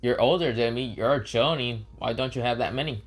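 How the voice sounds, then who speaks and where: A man's voice: mid-range voice, a little fast, natural voice. A man voicing a cheeky young boy ninja in a fan story, teasing and pleading. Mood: taunting.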